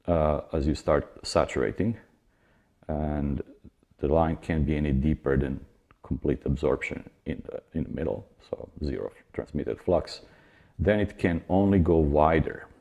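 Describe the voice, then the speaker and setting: A man lecturing with an extremely low, creaky voice. He explains technical material in a measured manner and drops in informal asides. The tone is dark and rather husky.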